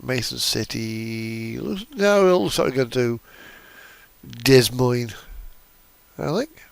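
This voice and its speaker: A man's voice: medium pitch, expressive, slow, vocal fry, no room sound. A man giving casual, unscripted commentary on a driving game, rambling and thinking aloud as he goes. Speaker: gaming video host.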